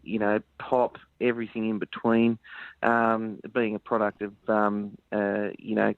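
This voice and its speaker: A man's voice: fairly low pitch, dry recording. Australian man on a tinny phone line. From Sydney, Australia. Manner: rambling, hesitant, lots of ums. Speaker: country musician guest. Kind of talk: phone-in radio interview.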